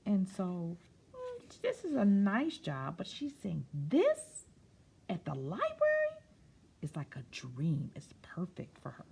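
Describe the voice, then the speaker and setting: A woman reading a picture book aloud for children, stretching key words expressively and pausing for soft explanatory asides. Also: on the low side, huge pitch swings, gravelly, a little hiss or hum. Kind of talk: read-aloud story time with explanatory asides.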